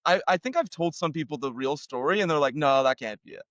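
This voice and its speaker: A young man from Argentina, a podcast guest telling a story in a relaxed, self-deprecating way with lots of fillers. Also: on the high side, fast talker, no room sound, highs cut off. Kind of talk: casual storytelling.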